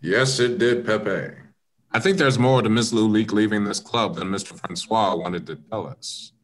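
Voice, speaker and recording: A man playing a composed, probing American police inspector in an amateur radio mystery, questioning politely in measured phrases. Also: on the low side, lightly acted.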